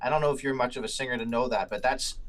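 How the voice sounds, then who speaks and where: A man's voice: mid-range voice, racing. A man who sings in a band, chatting casually and explaining singing terms in a knowledgeable way. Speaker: singer, guest in chat. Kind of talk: casual chat.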